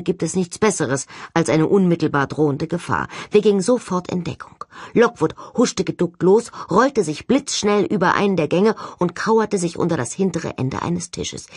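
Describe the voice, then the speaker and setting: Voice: medium pitch, slightly rough, no room sound, clean studio sound. An audiobook narrator reading a first-person story expressively from a script.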